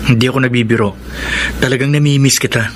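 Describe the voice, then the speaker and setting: An actor playing a persistent, earnest middle-aged suitor in a Filipino radio drama. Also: male voice, medium pitch, fairly even intonation, fast talker, a little hiss or hum, natural voice. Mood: longing, earnest.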